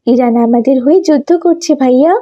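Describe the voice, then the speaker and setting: Woman voicing a high, mellow excited little girl. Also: quick pace, muffled recording. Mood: excited, proud. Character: excited little refugee girl bringing good news. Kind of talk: cartoon story dialogue.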